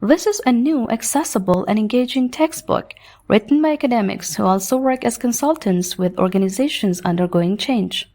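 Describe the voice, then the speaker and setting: A woman's voice: fairly high pitch, animated, normal speed, warm tone. A woman doing a scripted read-aloud of exam practice passages.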